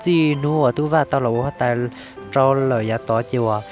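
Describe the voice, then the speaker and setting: Male cartoon character, played by a man, middling pitch, music behind. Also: fairly quick, darkish tone, natural voice. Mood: talkative. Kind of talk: cartoon story voice acting.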